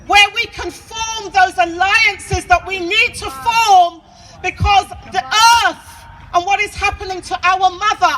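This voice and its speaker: A woman speaks at a public rally in an extremely high, forceful voice, taking her time with each phrase. Her delivery is emphatic and declamatory, and faint street and vehicle noise sits behind her. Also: low-quality recording. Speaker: activist speaker. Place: outdoors at a street gathering.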